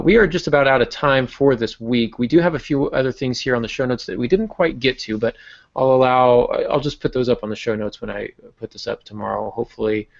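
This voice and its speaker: A man's voice: medium pitch, gravelly. A man hosting a podcast in a casual, easygoing, lightly joking way. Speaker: podcast host. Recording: muffled lo-fi online-call audio.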